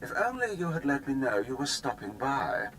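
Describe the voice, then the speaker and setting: An actor playing a smug, mockingly courteous military commander in a video game, speaking in a suave, formal, menacing way. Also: male voice, mid-range voice, very animated, normal speed, a bit bright, a little hiss or hum, rough recording. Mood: mockingly polite. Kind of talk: scripted video game cutscene acting.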